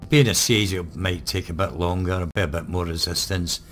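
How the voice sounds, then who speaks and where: A man talks through his strategy game with a very deep, hoarse, croaky voice. He rambles easily, with lots of ums and uhs. Faint music plays underneath. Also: a little fast. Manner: relaxed, rambling, many ums. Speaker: gaming channel host. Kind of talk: live let's play commentary.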